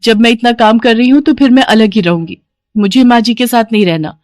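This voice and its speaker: A woman's voice: on the high side, fairly quick, a little mellow, no room sound, voice pushed up, lightly acted. A woman voicing a scheming, demanding young city daughter-in-law who complains insistently in a cartoon moral story. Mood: angry, demanding.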